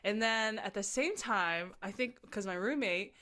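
A woman hosting a casual conversation, warm in her questions, with lively, excited rises and frequent laughter. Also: medium pitch, measured pace. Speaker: host. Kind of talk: casual interview.

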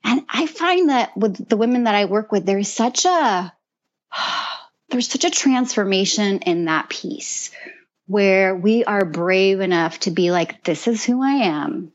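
A woman guest in a casual podcast conversation, earnest and animated, who often repeats words as she talks. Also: mid-range voice, takes it slowly, dull audio. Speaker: guest, mentor to creative women.

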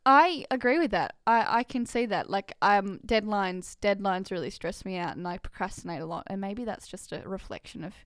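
A woman co-hosting a podcast in casual chat, animated and rambling with quick laughs and restarts. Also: medium pitch, no room sound, clean studio sound. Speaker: podcast co-host.

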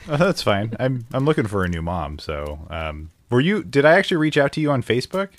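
A man co-hosting an improvised comedy podcast, talking in a hesitant, halting, deadpan way. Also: mid-range voice. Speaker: podcast co-host.

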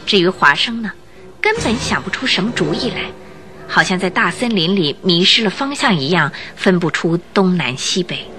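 A woman's voice: fairly low pitch, animated, normal speed. A woman narrating a radio drama as scripted, dramatic storytelling.

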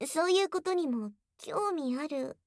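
A woman's voice: sits high, expressive, unhurried, dry recording. A voice actress playing a young tsundere girlfriend, bashful yet teasingly bold, in an intimate ASMR audio drama on a close binaural mic. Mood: flustered. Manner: flustered, stammering, breathy asides dropping into whispers. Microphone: close binaural microphone, dry studio.

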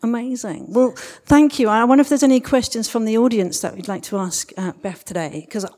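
A woman's voice: on the high side, a little fast, a little mellow. A woman hosting a live interview show on stage, effusive and encouraging with guests and audience. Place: live podcast festival stage.

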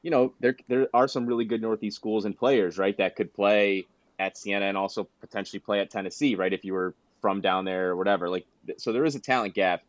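Low-voiced man telling anecdotes, lo-fi sound. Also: fairly even intonation, fairly quick, no echo. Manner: rambling anecdotes broken by laughter. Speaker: podcast co-host. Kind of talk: casual chat, storytelling.

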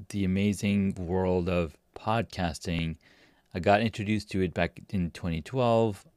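Low-voiced man telling his story. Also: dry recording, studio quality. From Pakistan. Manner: relaxed storytelling with frequent fillers and restarts. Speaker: podcast guest. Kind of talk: casual interview talk.

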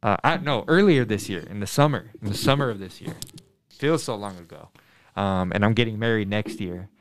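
A man in his twenties from Denver, a middle school math teacher, answering students' questions in a thoughtful, earnest way, pausing often with ums and restarting sentences. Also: fairly low pitch, a little hiss or hum. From Denver, USA. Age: 20s. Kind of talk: casual interview answers to students.

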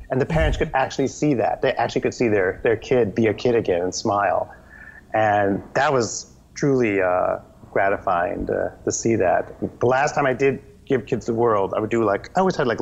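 A man telling stories as the guest in a casual interview, animated and rambling, often restarting his sentences; the audio is slightly dull. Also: lowish voice, moderate pace, creaky. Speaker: guest, children's TV performer.

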